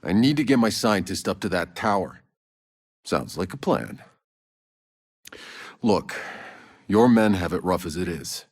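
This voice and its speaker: Video game role: gruff veteran soldier, low gravel, dry sarcasm, blunt delivery, heavy reverb. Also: male voice, expressive, unhurried, long pauses. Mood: matter-of-fact. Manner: blunt, sardonic, casual and profane. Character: gruff, world-weary sardonic male soldier and survivor. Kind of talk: video game character dialogue.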